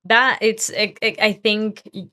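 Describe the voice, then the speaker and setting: A woman's voice: medium pitch, steady tone, unhurried, pushed and bright. A woman from Peru, a scientist guest on a podcast, talking earnestly and passionately, often restarting her sentences. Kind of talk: podcast interview conversation.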